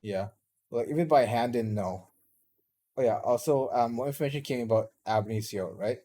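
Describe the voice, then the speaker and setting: Young male student, rambling casual talker, mid-pitched voice, chatty podcast co-host. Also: normal speed, warm tone. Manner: rambling, frequent restarts and fillers. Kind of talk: casual chat.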